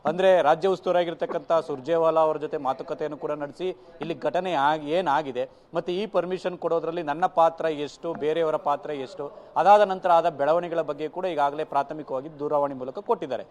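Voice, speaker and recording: A man's voice: a little high, steady tone, fairly quick, darkish tone. A man with a Karnataka Kannada accent giving a live news report in long, winding sentences.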